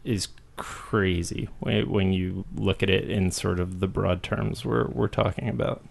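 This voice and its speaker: A man's voice: sits low, fairly even intonation, a little slow, creaky. A man hosting a casual podcast chat, joking around and laughing often. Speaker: podcast host.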